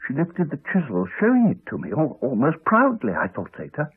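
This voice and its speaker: An actor playing a haunted man in a 1980s radio drama, telling his story in a hesitant, halting, subdued way. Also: a little high, wildly swooping pitch, slightly rough, heavily acted. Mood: haunted, tense. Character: Alex, haunted man haltingly recounting a horror. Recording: muffled phone-like archive radio recording.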